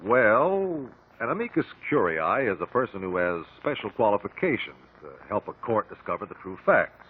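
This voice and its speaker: An actor playing a genial, educated American court investigator in a 1950s radio drama, speaking in courteous, precise words. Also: male voice, on the low side, very animated, moderate pace, husky, phone-quality sound. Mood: inquiring, measured. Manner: articulate, courteous, precise wording. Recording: noisy, band-limited old radio recording.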